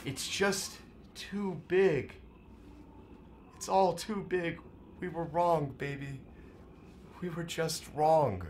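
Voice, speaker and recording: Weary fugitive lover, earnest and pleading, slightly high voice, faint ambience behind, audio drama. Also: male voice, slow, pauses often, a little mellow, a little hiss or hum. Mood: sad, resigned. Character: weary, pleading man on the run, resigned lover.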